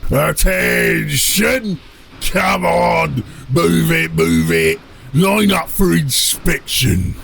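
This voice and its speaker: A man voicing a gruff ork submarine captain in an audiobook, gravelly and bellowing like a drill sergeant with rough grammar. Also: a little high, very animated, fairly bright tone, a little hiss or hum, pitched above the performer's own voice, exaggerated voice. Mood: barking orders. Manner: drawn-out, emphatic bellowed delivery with rough grammar.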